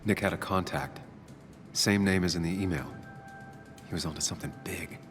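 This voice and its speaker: An actor playing a brooding, methodical investigator in a video game, thinking aloud in a deliberate, wary way. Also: male voice, low voice, music in the background, close to an everyday voice. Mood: pensive, suspicious. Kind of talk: video game acting, introspective monologue.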